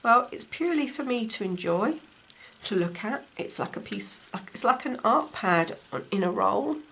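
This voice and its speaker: A woman with a croaky voice from a cold, chatting casually as she demonstrates stitching at home. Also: on the high side, big pitch swings, moderate pace, a bit bright, low-quality recording. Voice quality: slightly gravelly. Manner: chatty, talks while stitching. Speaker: textile crafts teacher. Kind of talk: casual craft tutorial. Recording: faint appliance hum behind. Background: dishwasher running.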